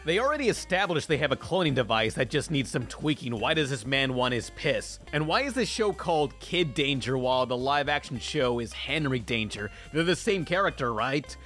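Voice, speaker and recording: A man's voice: medium pitch, lively intonation, moderate pace, hardly any pauses, fairly bright tone. A man hosting a review show, reading a scripted, sarcastic and mocking commentary. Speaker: host of a cartoon review show. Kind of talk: scripted review narration with sponsor read. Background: music bed.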